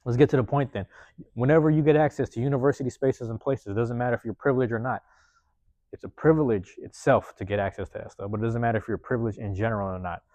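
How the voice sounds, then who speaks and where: A man hosting a podcast from a university room, giving a loose, slangy motivational monologue with lots of repetition, his voice getting a bit hoarse. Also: medium pitch, fast talker, warm tone, no room sound. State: voice going hoarse. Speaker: podcast host.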